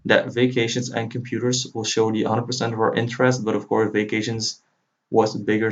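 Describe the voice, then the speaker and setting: A man whose native language is Dutch, giving an informal screen-recorded software tutorial as he walks through each step. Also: lowish voice. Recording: dull lo-fi mic, faint noise. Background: computer keyboard typing and clicking.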